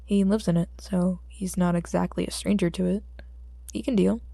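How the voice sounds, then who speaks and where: A woman's voice: lowish voice, steady tone, moderate pace, vocal fry, mellow, no echo. A woman reading scripted fiction as an audiobook narrator.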